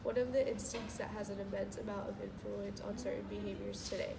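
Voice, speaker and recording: A young woman with a gravelly, creaky voice reads her section of a class presentation. She is far from the mic in an echoey room, with loud background noise. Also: mid-range voice, level tone, brisk. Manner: reads a script with occasional restarts. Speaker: student presenter.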